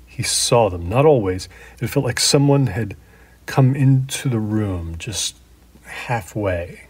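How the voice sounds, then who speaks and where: An actor playing a middle-aged man in an audio drama, thoughtfully recalling an old friend's haunting in a halting, conversational way. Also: mid-range voice, darkish tone, slightly rough. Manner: halting, thoughtful storytelling with trailing pauses. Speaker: actor playing a documentary interview subject.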